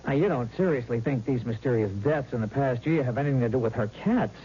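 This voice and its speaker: A man's voice: medium pitch, fast talker, dark tone, natural voice. A man playing an earnest, principled young professional, casual and protective, in an old-time radio drama. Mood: indignant, reasoning. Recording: muffled, slightly noisy vintage radio recording.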